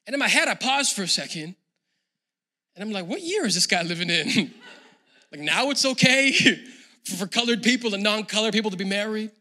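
A man preaching a sermon as a pastor, talking casually to people at home and rising to loud, emphatic passages. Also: sits high, animated, moderate pace.

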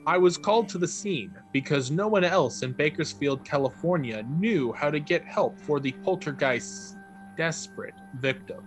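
A man reading a written account aloud as a narrator, measured and formal, with carefully enunciated phrases. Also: fairly high pitch, lively intonation, faint music behind.

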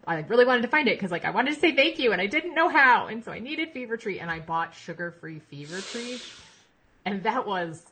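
A woman in her thirties, a podcast guest talking in a rambling, animated way and laughing easily. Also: medium pitch, brisk, slight background noise. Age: 30s. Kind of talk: casual chat.

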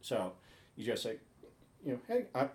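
A man's voice: medium pitch, wildly swooping pitch, moderate pace. A man co-hosting a podcast in casual chat, patiently explaining things while stumbling over and repeating his words. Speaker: podcast co-host. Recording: roomy echo.

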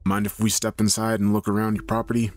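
A man playing a stern police officer in an audio story, gruff, formal and demanding. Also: lowish voice, monotone, fast, a little mellow, natural voice. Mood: probing.

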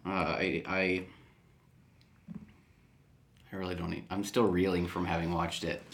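A man's voice: low-pitched, little pitch movement, measured pace, gravelly. A man co-hosting a casual chat, hesitant and often searching for his words. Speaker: podcast co-host.

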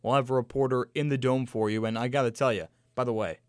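A man co-hosting a sports talk radio show, loud, joking and energetic. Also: medium pitch, fast, smooth, no echo, studio quality.

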